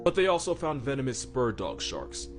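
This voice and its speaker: A man's voice: medium pitch, huge pitch swings, moderate pace. A man delivering comedic scripted narration about animals, with a wry, slangy, sarcastic manner. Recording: music behind the voice. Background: background music.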